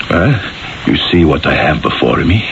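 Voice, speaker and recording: A menacing, jealous husband of Renaissance Florence in 1970s radio style. The voice is gravelly and swings dramatically in pitch, brooding and theatrical. The recording is dull and clearly noisy. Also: male voice, heavily acted.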